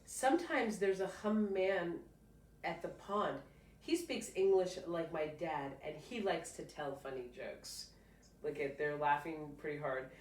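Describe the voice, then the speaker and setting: A woman teacher reading a picture book aloud to a child, pausing to explain and prompt with encouraging questions. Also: on the low side. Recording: roomy, distant mic, faint noise.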